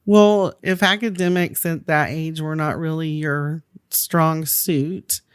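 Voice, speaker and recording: A woman's voice: low voice, steady tone, slow pace, clean tone, dry recording, studio quality. A woman hosting a podcast, reading a scripted introduction and interviewing a guest with short, attentive affirmations. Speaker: podcast host.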